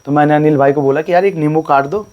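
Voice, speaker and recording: A man with a Garhwali accent, a folk singer being interviewed, talking earnestly with a hoarse voice. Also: a little high, steady tone, fast talker, a little mellow, muffled recording, slight background noise. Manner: plain, earnest storytelling. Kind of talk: casual interview and folk singing.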